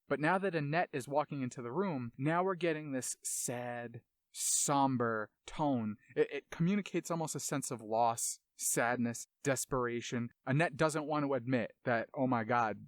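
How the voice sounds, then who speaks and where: A man's voice: medium pitch, normal speed, dry recording, clean studio sound. A man hosting a video essay with casual analytical commentary, dramatic emphasis and colloquial asides.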